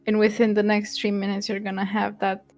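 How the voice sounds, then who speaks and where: A woman's voice: medium pitch, monotone, brisk, darkish tone, soft background music. A woman whose first language is Romanian, chatting casually on a stream and teasing her co-host with frequent laughter. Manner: giggly, teasing.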